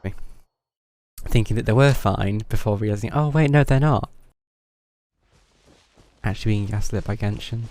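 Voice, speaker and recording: A man's voice: fairly low pitch, moderate pace, pauses often, a little mellow, slight background noise. A man streaming a game, giving casual, dry commentary as he thinks aloud. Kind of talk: casual live gameplay commentary. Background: game music.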